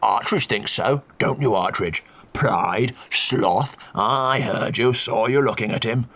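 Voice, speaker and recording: A man putting on a cantankerous old duke with a gravelly, forceful voice that snarls out every curse. Brutal and profane, he barks his lines gruffly, as in a read-aloud mystery. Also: mid-range voice, normal speed. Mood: raging. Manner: gruff, swears constantly.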